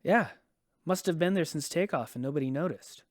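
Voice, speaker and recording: An actor playing a worried father and farmer in a sci-fi radio drama, talking in a halting, conversational way. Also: a little high, no room sound, clean studio sound, lightly acted. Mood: concerned, gentle.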